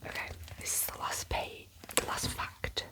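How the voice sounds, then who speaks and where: Sniffly woman whispering ASMR. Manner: soft whisper, repeats words slowly for trigger effect; chatty asides, lightly sarcastic. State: sniffly from a cold or hay fever. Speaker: ASMR creator.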